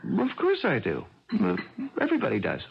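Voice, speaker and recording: An actor playing an evasive, uneasy college-age son in a radio drama, halting and distracted, often stammering. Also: male voice, medium pitch, wildly swooping pitch, gravelly. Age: young adult. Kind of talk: 1980 American radio drama acting. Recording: muffled, faintly noisy old radio broadcast.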